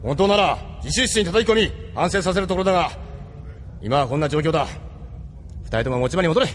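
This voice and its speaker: An actor playing a stern, weary, responsible male ship captain in an anime audio drama, gruff and authoritative. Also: fairly high pitch, animated, a little fast, slight background noise, natural voice. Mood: commanding, angry.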